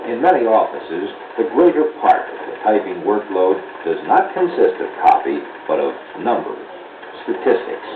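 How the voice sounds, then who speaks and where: A man's voice: fairly low pitch, sing-song, distant mic. American male narrator for a 1970s classroom film, didactic and precise, heard through a narrow, roomy old soundtrack. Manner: measured, didactic, clearly enunciated. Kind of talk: scripted instructional narration. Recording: muffled, noisy 1970s 16mm film soundtrack.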